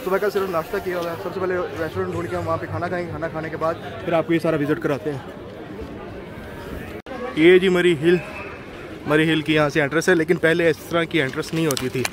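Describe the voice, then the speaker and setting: Male travel vlogger, chatty guide voice a bit on the high side, recorded outdoors amid a crowd. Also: steady tone, fast. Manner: chatty, pointing things out while walking. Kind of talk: casual walking tour vlog. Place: busy hill-town street outdoors. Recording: crowd noise around the speaker. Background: crowd of passers-by.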